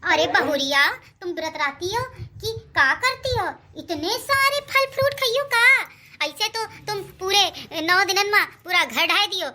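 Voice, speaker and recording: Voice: very high-pitched, animated, measured pace, sharp tone, exaggerated voice. A performer voicing a nagging, teasing old village mother-in-law in a comic cartoon, with a rural Uttar Pradesh accent. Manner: nagging, rustic.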